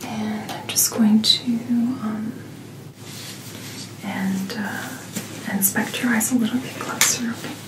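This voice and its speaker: A woman speaking in a soft, breathy whisper, gently and reassuringly playing a doctor in an ASMR roleplay, close to the microphone. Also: medium pitch, steady tone, slow, pauses often, poor audio. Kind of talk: soft-spoken ASMR medical checkup roleplay.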